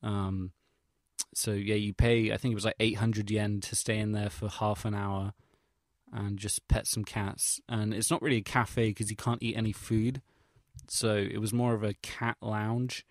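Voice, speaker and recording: A man's voice: lowish voice, little pitch movement, a little slow, dry recording, clean studio sound. A young man with an English accent, recounting things in a casual, rambling audio log, hesitant, informal and self-deprecating, with slang and swearing. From England. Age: young adult. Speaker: vlogger.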